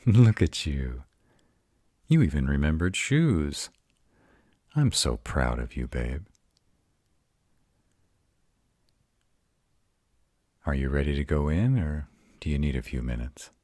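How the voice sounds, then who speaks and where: A man's voice: extremely low pitch, sing-song, measured pace, mellow, dry recording, studio quality, heavily acted. A man voicing an arrogant but tender vampire mentor in an intimate roleplay audio drama, soothing and reassuring his mate. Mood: proud.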